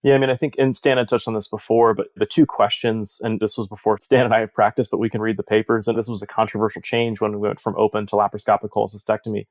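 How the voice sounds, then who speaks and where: Even-toned, lowish-voiced man in interview. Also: quick pace, hardly any pauses, no echo. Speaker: surgeon-researcher interviewed as study author. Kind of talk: conversational expert explanation.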